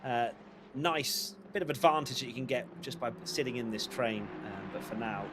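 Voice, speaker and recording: A man commentating a live sim race in a brisk, upbeat way. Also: medium pitch, noisy background. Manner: brisk, upbeat, laughs easily.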